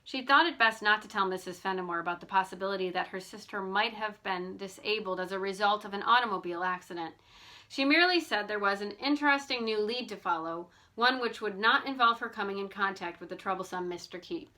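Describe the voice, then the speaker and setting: A woman reading a novel aloud as an amateur audiobook narrator, in an expressive storytelling style. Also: medium pitch, fairly quick.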